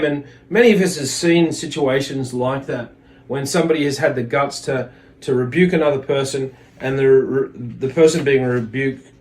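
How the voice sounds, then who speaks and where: A man with a slightly high voice teaches a religious lesson. He moves between reading a text and explaining it in his own words. His explanations are halting, with words doubled back on and restated.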